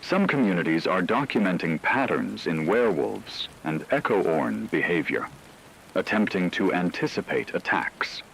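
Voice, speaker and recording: A man playing a grave radio newsman, delivering a scripted emergency report in a measured announcer's manner. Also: sits low, expressive, gravelly, a bit bright, a little hiss or hum, heavily acted, poor audio. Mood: grave, ominous. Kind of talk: scripted fictional radio news narration.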